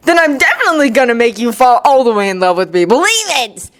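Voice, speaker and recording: A woman voicing a brash, stubborn, cheery teenage ninja boy in a story, loud and boastful. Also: fairly high pitch, very animated, fast talker. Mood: boastful, determined.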